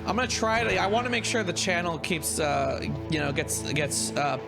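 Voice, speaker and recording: A man streaming a game and chatting casually, thinking aloud in a halting way. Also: high-pitched, animated. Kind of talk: casual livestream chat. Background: loud music.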